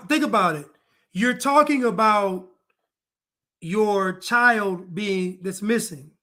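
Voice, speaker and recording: A man's voice: sits high, pushed and bright. A man talking to his viewers, breaking down a clip and asking pointed rhetorical questions again and again. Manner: emphatic, repeats rhetorical questions. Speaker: commentator. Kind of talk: true crime commentary.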